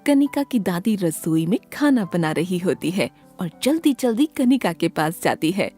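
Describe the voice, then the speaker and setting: A woman's voice: lowish voice, very animated, unhurried, warm tone, faint music behind. A woman narrating a scripted children's story as the storyteller.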